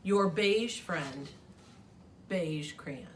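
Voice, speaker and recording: A woman's voice: low-pitched, expressive, very slow, a little hiss or hum, pitched below the performer's own voice, heavily acted. A woman reading the letter of a proud but slighted beige crayon from a storybook, in an indignant tone.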